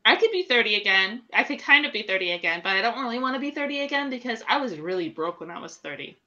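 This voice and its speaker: A woman in her late thirties, co-hosting a casual chat podcast over a video call, candid and quick to laugh. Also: fairly high pitch, big pitch swings, sharp tone. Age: late 30s. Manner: candid, laughing, swears freely. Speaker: podcast co-host. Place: home room at night. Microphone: video call webcam. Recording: dull lo-fi webcam audio.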